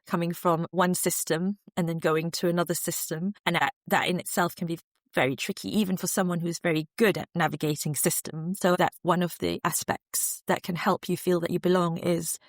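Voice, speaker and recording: A woman answering interview questions as a researcher, thoughtful and measured with frequent fillers. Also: fairly low pitch, steady tone, no echo, clean studio sound. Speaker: guest researcher.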